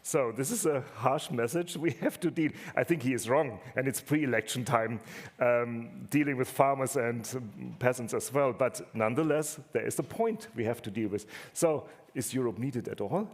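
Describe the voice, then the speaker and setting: A man's voice: medium pitch, moderate pace. A man moderating a conference panel, posing questions with some fillers.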